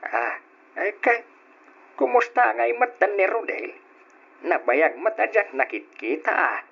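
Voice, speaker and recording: A man's voice: high-pitched, big pitch swings, unhurried, vocal fry, husky, exaggerated voice. An actor playing a middle-aged father chatting casually with his wife in a radio drama, on a lo-fi old recording. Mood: chatty.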